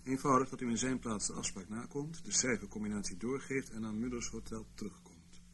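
A man's voice: mid-range voice, fairly quick, fairly bright tone, a little hiss or hum, natural voice. A man acting in a radio play as a wry, officious senior civil servant in intelligence, urbane and mock-regretful. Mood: smooth, persuasive.

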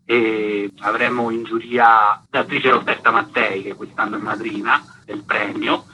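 A man's voice: on the low side, little pitch movement, measured pace, sharp tone, slight background noise, rough recording. A man answering interview questions as an artistic director, explaining his project. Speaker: interview guest, theatre festival artistic director.

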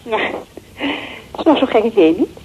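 A woman's voice: on the high side, very animated, normal speed. An actress in a radio play as a chatty, teasing, scheming female office associate with a playful manner. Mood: probing, defensive. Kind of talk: Dutch radio play acting. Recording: dull lo-fi telephone scene, faint noise.

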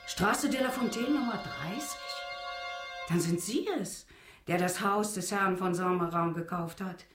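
Woman playing genteel, low-voiced society lady. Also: unhurried, reverberant, over music, lightly acted. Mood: gracious. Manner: polite, refined. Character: genteel society wife and mother, mildly resentful. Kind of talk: German radio drama acting.

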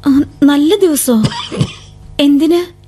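A torn young daughter, very high voice, vintage radio drama. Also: female voice, extremely slow, dark tone. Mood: defiant. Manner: trembling, pleading, sobbing at times. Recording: old AM radio broadcast.

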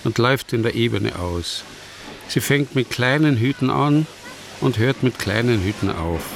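A sober radio drama narrator, gravelly, takes his time, loud train rumble behind. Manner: sparse, deliberate phrasing. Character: measured male narrator sketching a bleak small town. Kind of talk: literary radio play narration.

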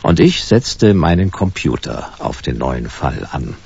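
An actor playing a wry, world-weary hard-boiled private detective in a German radio play, laconic and dry. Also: male voice, a bass voice, very animated, measured pace, gravelly, a little mellow, husky, dull audio, exaggerated voice. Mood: laconic narrating. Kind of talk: German radio play noir acting and first-person narration.

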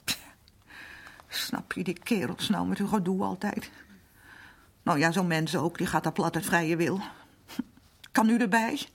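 A woman playing a worn-down older working-class housewife and mother in a Dutch radio drama, rambling on in a plaintive, confiding way with a husky voice. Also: on the low side, a little slow, pauses often, exaggerated voice. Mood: confiding, bitter.

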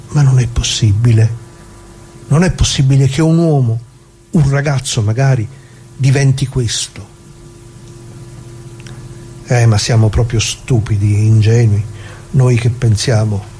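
An actor playing an anguished, plain-spoken ordinary man who muses haltingly and repeats himself in a theatrical radio monologue. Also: mid-range voice, mellow, slight background noise, natural voice. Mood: indignant, dismayed. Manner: halting, musing, rhetorical questions, repeats himself.

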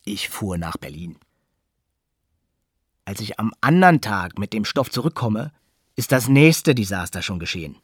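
An actor playing a sober eyewitness who looks after an addict, narrating a radio play in a factual, measured way. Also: male voice, on the low side, very animated, slightly rough, dry recording, studio quality, heavily acted. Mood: sober, reporting.

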